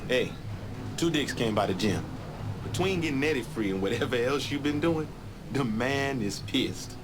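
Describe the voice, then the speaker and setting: A man's voice: mid-range voice, expressive, normal speed, natural voice. A young boxer with a loose, streetwise way of talking , played by an actor. His delivery is laid-back and slangy. Faint ambient noise sits in the background. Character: streetwise young male boxer under pressure.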